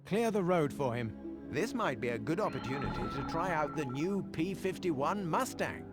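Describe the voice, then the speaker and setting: An actor playing a well-spoken, genial senior military adviser in a war game, giving polite, articulate briefings. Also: male voice, fairly high pitch, expressive, moderate pace, lightly acted. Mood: brisk. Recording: loud music under the voice.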